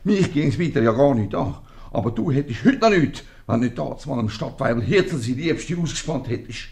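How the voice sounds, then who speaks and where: Sly, needling older-days radio busybody. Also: male voice, medium pitch, lively intonation, fairly quick, gravelly, darkish tone. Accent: Swiss. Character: sly, needling male acquaintance of the innkeeper, knowing and lecturing. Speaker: actor. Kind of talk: 1950s radio comedy acting.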